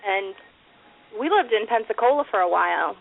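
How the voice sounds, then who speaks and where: A woman's voice: medium pitch, expressive, normal speed, creaky. A woman tells friendly, chatty anecdotes in a mid-range voice. The recording is thin and phone-like. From Massachusetts. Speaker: podcast co-host. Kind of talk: casual chat. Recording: tinny phone-line sound.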